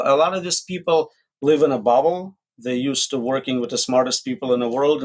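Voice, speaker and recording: Expert guy being interviewed, medium pitch voice, blunt and matter of fact. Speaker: expert guest, AI safety researcher. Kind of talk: interview answers.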